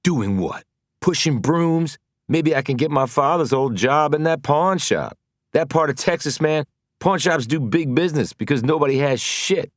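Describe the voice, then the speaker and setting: A man's voice: on the high side, animated, moderate pace, no room sound, clean studio sound, pitched above the performer's own voice, close to an everyday voice. A man voicing a bitter, wrongly convicted former football star in an audiobook, blunt, slangy and frustrated. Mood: angry, frustrated. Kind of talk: dramatic audiobook character dialogue.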